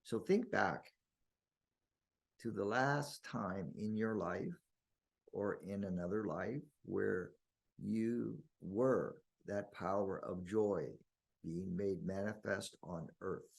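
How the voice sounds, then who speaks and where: A man leading a guided meditation in slow, deliberate phrases with long pauses and a husky, gravelly voice. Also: on the low side.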